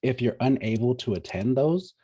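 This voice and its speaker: A male student giving a casual testimonial in a relaxed, earnest way, with many fillers. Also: mid-range voice, normal speed, husky.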